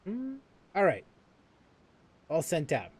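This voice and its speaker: A man's voice: fairly high pitch, huge pitch swings, slow, husky. A man streaming a video game live. He rambles casually, with many fillers and restarts.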